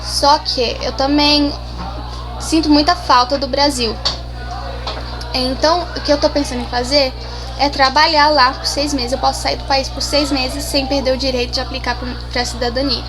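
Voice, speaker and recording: A woman's voice: high-pitched, steady tone, moderate pace, over music, poor audio. A seventeen-year-old girl from Brazil, answering interview questions casually, shy and hesitant with many restarts. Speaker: interview guest.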